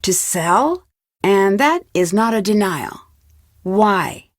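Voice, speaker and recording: A young American woman voicing a polite, inquisitive amateur detective in a scripted adventure game, composed and clearly spoken. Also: medium pitch, expressive, slow, dry recording, studio quality. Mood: firm, accusing. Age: young adult.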